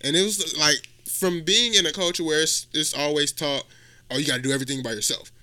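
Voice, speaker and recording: Bright-voiced young man. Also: fairly high pitch, fairly even intonation, fairly quick. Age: twenty. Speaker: podcast guest, musician. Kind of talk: casual chat.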